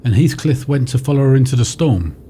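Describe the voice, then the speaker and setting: A man's voice: medium pitch, expressive, a little fast, mellow, slight background noise, natural voice. A man playing an astonished visitor piecing together a ghostly tale in a literary audio drama.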